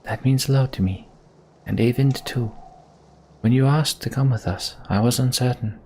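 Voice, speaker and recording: A man voicing an earnest, devoted sorceress in a fantasy video game, measured and sincere. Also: mid-range voice, darkish tone, breathy edge. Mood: earnest, tender. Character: earnest, devoted female mender sorceress, solemn.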